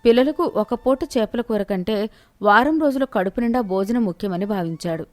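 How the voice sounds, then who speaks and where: A woman's voice: medium pitch, moderate pace, darkish tone, dry recording. A woman narrator reading a scripted moral tale in storytelling style.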